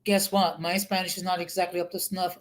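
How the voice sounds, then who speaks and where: A woman in a casual online talk, explaining her views in a measured, emphatic way, point by point. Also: on the low side, little pitch movement. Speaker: interview partner. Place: New York.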